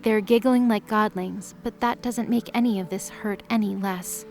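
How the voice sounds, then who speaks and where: A woman's voice: medium pitch, fairly even intonation, moderate pace, darkish tone, soft background music. A woman narrator reading scripted dramatic story narration in an audio drama.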